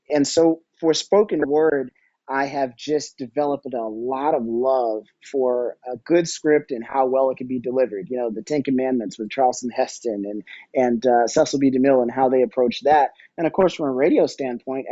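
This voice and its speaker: A middle-aged man speaking reflectively in a conversational interview as a podcast guest, often halting and repeating his words. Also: mid-range voice, warm tone, dry recording, highs cut off.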